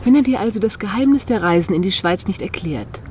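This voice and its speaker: A woman acting a cheeky, sharp-tongued friend turned amateur detective in a German radio crime drama, mocking and decisive. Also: medium pitch, animated, a little fast, slight background noise, close to an everyday voice. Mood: determined.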